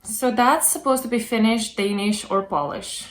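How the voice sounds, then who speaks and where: A woman's voice: fairly high pitch, normal speed. A woman hosting a casual interview over a video call, chatty and filling her talk with yeah and like. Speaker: host.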